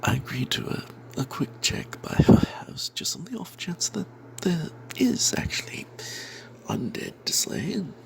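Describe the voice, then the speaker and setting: A man voicing a roguish, money-minded cleric who tells a fantasy tale in breathy, confiding whispers with snide asides. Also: mid-range voice, exaggerated voice, low-quality recording. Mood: dismissive, scheming. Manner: confiding, hesitant, sardonic asides. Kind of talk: first-person fantasy tale told in whispers, ASMR.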